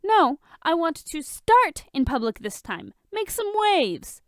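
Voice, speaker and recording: Woman doing a lively, sarcastic billionaire playboy. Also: extremely high pitch, takes it slowly, no echo, clean studio sound, voice pushed up, heavily acted. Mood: goading. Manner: sarcastic, goading. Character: playful, teasing, hard-partying billionaire man, smirking wisecracker.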